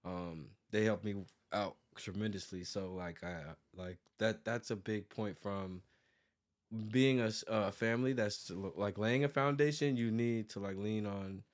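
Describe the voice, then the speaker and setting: A man in his thirties with an American accent and a fairly low voice. He talks casually as an interview guest, rambling, sprinkling in "like" and restarting his thoughts as he explains himself. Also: a little slow. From United States, born in New York and raised in Virginia. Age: 30s. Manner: rambling, frequent "like" and self-corrections. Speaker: interview guest, graphic designer and business owner.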